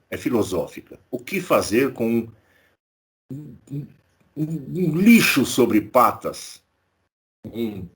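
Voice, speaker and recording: Man, Brazilian accent, husky, animated pitch, sarcastic political co-host. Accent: Brazilian Portuguese. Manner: indignant, sarcastic, swears freely. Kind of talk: casual political commentary.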